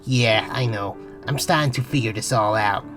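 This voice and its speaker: A woman's voice: extremely low pitch, moderate pace, a bit bright, soft background music. A woman playing an anxious but earnest teenage boy space captain in a comic dub. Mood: reflective.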